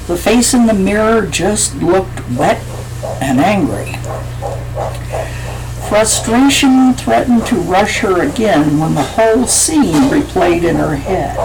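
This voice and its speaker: A woman author reading a chapter of her own novel aloud in a slow, measured way. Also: medium pitch, lively intonation, creaky, noisy background.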